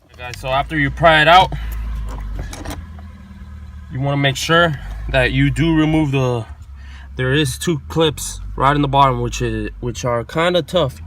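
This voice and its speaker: A man's voice: medium pitch, unhurried, long pauses, fairly bright tone. A man hosting a car installation tutorial, explaining each step casually and informally, repeating himself as he goes. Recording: noisy, clicks and vehicle sounds behind.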